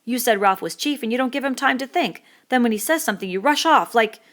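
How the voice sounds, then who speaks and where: A woman's voice: a little high, expressive, fast, a bit bright, pitched above the performer's own voice. Woman voicing a fussy, indignant schoolboy. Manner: indignant, lecturing, breathless, working-class boy's dialect. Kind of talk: audiobook character reading.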